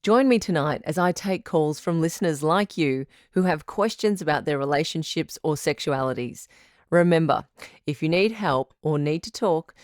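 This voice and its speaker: A woman playing a supportive radio advice doctor and host in an audio drama, measured, articulate and reassuring. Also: low voice, dry recording, studio quality, natural voice. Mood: welcoming, composed.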